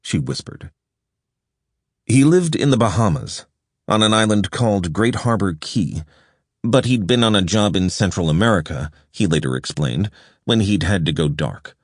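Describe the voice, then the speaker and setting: A man with a very deep voice reads a nonfiction audiobook in a measured, even storytelling way. He is recorded close on a studio microphone in a completely dry room with no reverb. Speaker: audiobook narrator. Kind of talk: scripted audiobook narration.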